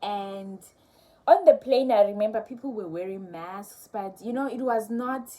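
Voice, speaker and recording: A young woman speaks in a mellow, warm tone. She talks candidly and conversationally, recounting her own experience as a teacher to her viewers. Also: medium pitch, measured pace. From South Africa. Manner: candid, conversational, with soft laughs. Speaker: teacher vlogger. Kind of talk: casual storytelling to camera.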